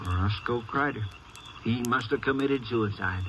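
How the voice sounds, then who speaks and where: An actor playing a plain-spoken, practical small-town sheriff with an American accent and folksy manner in a vintage radio drama. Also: male voice, medium pitch, a little slow, fairly bright tone, lightly acted. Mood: pondering. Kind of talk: 1950s radio drama acting. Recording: noisy vintage radio recording.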